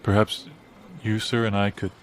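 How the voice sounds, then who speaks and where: A man's voice: on the low side, little pitch movement, normal speed, slight background noise, close to an everyday voice. A man playing a flustered, polite bartender in improvised audio comedy, stammering earnestly and hesitantly. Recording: guitar music behind. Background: guitar music.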